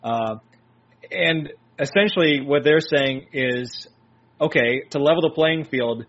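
A man's voice: on the high side, slow, fairly bright tone. A man co-hosting a political talk show, laying out his argument steadily and point by point. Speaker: co-host. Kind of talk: political commentary chat. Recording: dull lo-fi sound.

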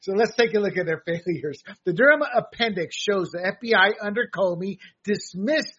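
A man's voice: sits high, moderate pace, fairly bright tone, slightly rough, muffled recording. A man hosting a political talk show, giving jokey, emphatic commentary and often repeating his words.

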